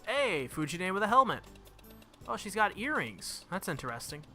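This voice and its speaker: A man with a sharp, very bright voice reads a visual novel aloud for a playthrough. His pitch sits a little high for a man. He mixes narration with casual commentary, and music plays behind him. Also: very animated, moderate pace. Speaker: gaming video host. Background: game music.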